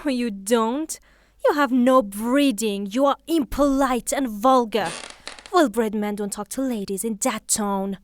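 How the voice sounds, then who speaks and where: A woman's voice: on the high side, a little slow, natural voice. A woman acting in a theatrical audio drama as a proud, prim widow, formal and reproving. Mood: scornful. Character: proud, prim widowed lady, indignant and dignified.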